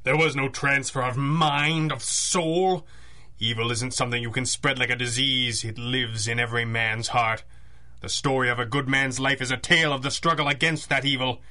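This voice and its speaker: Masked crime-fighter, forceful bright tone, cool, dry, assured, old-time radio adventure. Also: male voice, mid-range voice, steady tone, moderate pace. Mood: stern. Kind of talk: 1930s-style radio drama acting.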